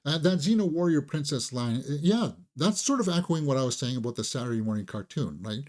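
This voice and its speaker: A man's voice: mid-range voice, expressive, a little fast, darkish tone. A man hosting a casual, conversational film review, enthusiastic and opinionated, sometimes repeating words as he thinks aloud.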